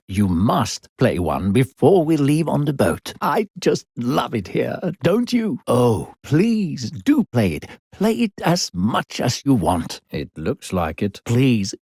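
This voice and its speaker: An actor playing an unseen male narrator in a video game, a falsely kind, manipulative guide with a gravelly, husky voice and a theatrical, condescending storyteller's manner. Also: mid-range voice, big pitch swings, darkish tone, dry recording, studio quality, heavily acted. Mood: playfully encouraging. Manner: theatrical, drawn-out, condescending storyteller addressing a child.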